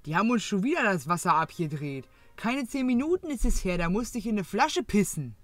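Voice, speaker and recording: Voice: mid-range voice, big pitch swings, brisk, no room sound, soft background music, natural voice. A performer playing a coarse, jaded working-class mother, grumbling bluntly in a parody audio drama.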